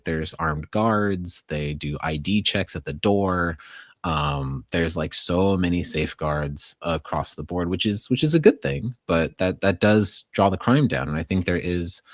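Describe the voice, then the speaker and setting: A man's voice: sits low, dry recording, studio quality. An American man co-hosting a podcast, talking through local news in a casual, conversational, hedging way with plenty of "like". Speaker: podcast co-host.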